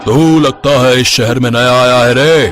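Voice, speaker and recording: A man's voice: medium pitch, fairly even intonation, measured pace, over music, close to an everyday voice. A man voicing a boastful, menacing evil sorcerer in a children's cartoon story, taunting and domineering. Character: boastful, menacing evil male sorcerer who torments a town.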